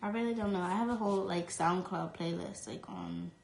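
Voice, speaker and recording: A woman's voice: lowish voice, a little fast, vocal fry. Young woman, casual live-stream chatter, full of fillers. Phone mic, echoey room. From New York. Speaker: live streamer answering fan comments. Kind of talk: casual chat.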